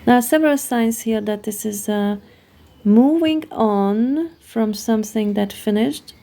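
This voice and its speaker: A Hungarian-accented woman, a native Hungarian speaker, explaining a card reading, pausing often as she searches for her words. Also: a little high, creaky, mellow. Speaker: tarot card reader.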